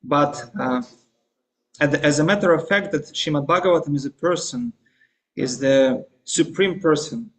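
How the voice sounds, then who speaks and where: A man with a Russian accent lectures in careful, hesitant English. He speaks slowly, stopping often for fillers and restarts. His voice sits a little higher than most men's. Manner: halting, frequent fillers and restarts; hesitant non-native English. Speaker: guest lecturer. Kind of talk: scripture class lecture.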